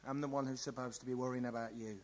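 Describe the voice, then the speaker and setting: A man's voice: medium pitch, steady tone, fast, a little mellow, natural voice. A man plays an anxious father in a radio drama. He talks in a fretful, caring way, as a protective parent who worries over his child.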